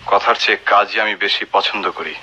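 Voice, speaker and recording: An actor with a Bangladeshi accent playing a bold, blunt former freedom fighter, a husky and deliberate speaker in a radio drama. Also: male voice, on the low side, steady tone, a little hiss or hum. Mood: intense, resolute. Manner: forceful, deliberate. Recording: dull old radio recording.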